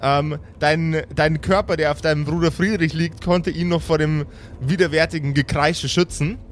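A man's voice: a little high, steady tone, normal speed. A man improvising narration as the game master of a tabletop game. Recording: clear background noise.

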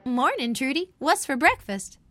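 A woman's voice: sits high, sing-song, moderate pace, loud music behind the voice. A woman playing an eager, chipper, confident teenage girl detective in an old-time radio drama parody. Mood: perky.

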